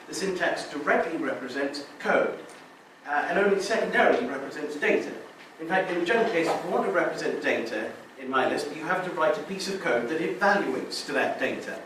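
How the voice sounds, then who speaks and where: A man's voice: a little high, fairly quick, fairly bright tone. Man lecturing in an echoey hall. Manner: many restarts and filler sounds, explanatory. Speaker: conference speaker. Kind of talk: technical conference talk. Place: lecture room. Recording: very echoey hall, distant mic, faint noise.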